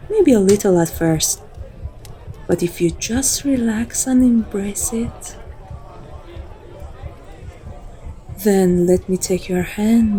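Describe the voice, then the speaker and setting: A woman's voice: mid-range voice, mellow, lightly acted. A woman playing a flirtatious, teasing female vampire in an intimate, coaxing ASMR roleplay. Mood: coaxing, seductive.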